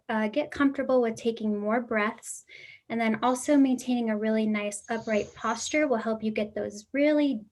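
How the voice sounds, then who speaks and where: Young woman, slightly high voice, casual coaching tone, talks others through exercises. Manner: coaching, demonstrates exercises with fillers. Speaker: voice therapy presenter. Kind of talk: casual spoken instruction.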